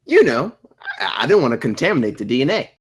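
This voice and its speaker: Dopey, slangy slacker guy played by a woman, low husky voice, very swooping delivery, comedy script read. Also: very animated, slight background noise. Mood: earnest, clueless. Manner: slow-witted, earnest, slangy. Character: dim, laid-back slacker dude pool cleaner.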